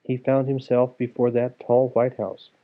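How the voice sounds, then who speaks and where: A man's voice: medium pitch, little pitch movement, a little slow. Male narrator for children's fairy tales: mid-range voice, storytelling delivery, narrow tinny recording. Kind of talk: animated reading of a children's fairy tale. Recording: tinny, phone-like narrow sound.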